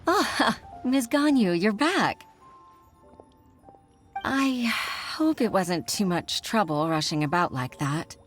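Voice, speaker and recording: Casting: polite, grateful office clerk in a game, unhurried, mid-range voice. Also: female voice, mid-range voice, lively intonation, faint music behind. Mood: polite, relieved. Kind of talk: video game voice acting.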